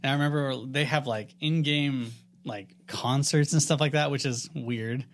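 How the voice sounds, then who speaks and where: Slangy guy chatting on a podcast, average pitch, tells stories with some animation. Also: steady tone, moderate pace. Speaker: podcast co-host. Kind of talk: casual chat.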